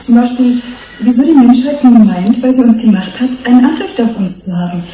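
An actress playing a calm, gently ironic human-fish hybrid in a German radio play, deliberate and questioning. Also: female voice, a little high, natural voice. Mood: earnest, questioning. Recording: roomy sound with faint noise.

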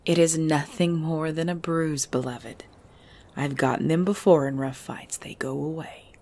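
Slow, low-voiced vampire lover played by a woman. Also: steady tone. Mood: calm, fond. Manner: soft, hesitant, often dropping to breathy whispers. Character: tender, regal female vampire lover, anxious and devoted. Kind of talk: intimate ASMR audio roleplay acting. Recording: music bed under the voice.

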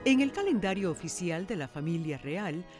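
Woman doing tv news mag narration, animated delivery, soft music underneath. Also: fairly low pitch, moderate pace. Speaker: TV news magazine presenter and reporter. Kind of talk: scripted broadcast narration. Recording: music bed under studio voice. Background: background music.

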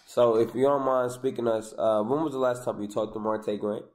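A man's voice: mid-range voice, steady tone, fairly quick, darkish tone. A young man on prank calls, questioning people in a stern, confrontational way, picked up by a camera across the table. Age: young adult. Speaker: prank caller. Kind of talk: prank phone call, mock-official questioning. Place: small room indoors at a table.

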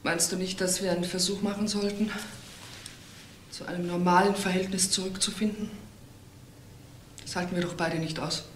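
An actress playing a suspicious, wounded wife who runs a restaurant, demanding and questioning in a crime radio drama. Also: female voice, fairly low pitch, flat delivery, moderate pace, pauses often, natural voice. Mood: strained, pleading. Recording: roomy sound with faint noise.

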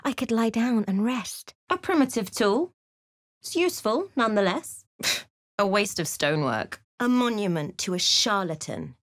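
An actress plays a watchful fantasy game heroine recounting her travels. She speaks in a measured, dramatic storyteller's way with a wry edge. Her mid-pitched female voice is recorded very dry. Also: animated, dry recording, close to an everyday voice. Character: observant young adventuress, a fantasy game companion recounting her journey. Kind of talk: video game voice lines, dramatic narration and remarks.

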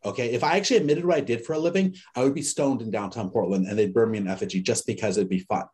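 A man teaching an online class in a lively, conversational way, telling stories and acting out the people he quotes. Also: on the low side, racing. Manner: animated storyteller, acts out quoted people. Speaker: course instructor.

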